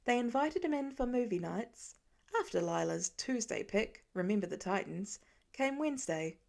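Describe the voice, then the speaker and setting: Creaky-voiced woman narrating a story. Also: medium pitch, expressive, moderate pace, darkish tone. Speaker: fan fiction narrator.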